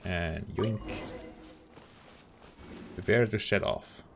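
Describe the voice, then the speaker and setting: A man's voice: deep voice, drawn-out words, gravelly, fairly bright tone, a little hiss or hum. A man doing casual let's play commentary, reading dialogue choices aloud and reacting to the game. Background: game music.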